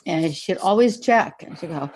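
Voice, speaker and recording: An actress playing a gentle woman back from a hospital stay, speaking in a halting, thoughtful, conversational way. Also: fairly low pitch, lively intonation, breathy edge, low-quality recording. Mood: hesitant, reflective.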